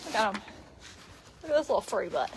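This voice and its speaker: A woman's voice: mid-range voice, very animated, quick pace. A woman in her early forties chatting casually on a livestream while doing barn chores, repeating phrases and calling out to animals. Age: early 40s. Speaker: farm livestreamer. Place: horse barn with stalls on a farm. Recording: very noisy live barn recording.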